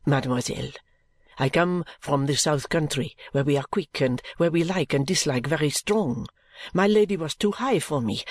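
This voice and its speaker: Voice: medium pitch, dry recording, pitched below the performer's own voice. A narrator voicing a fervent, courtly Frenchwoman with a French accent, earnest and pressing in an audiobook story. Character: fervent, intense Frenchwoman seeking work as a lady's maid.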